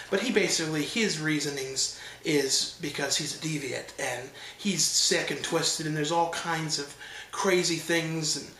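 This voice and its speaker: Man, stuffed-up nose, rambling casual review, slightly high voice, echoey room. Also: fairly bright tone, a little hiss or hum. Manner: loose and rambling, many fillers and restarts. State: stuffed up from allergies. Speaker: horror film reviewer.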